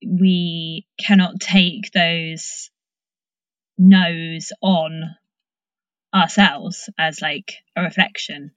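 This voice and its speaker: A woman's voice: lowish voice, steady tone, drawn-out words, no echo. Woman interviewer, slightly muffled, dry sound. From English Midlands. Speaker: podcast host, actor. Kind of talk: casual conversational interviewing.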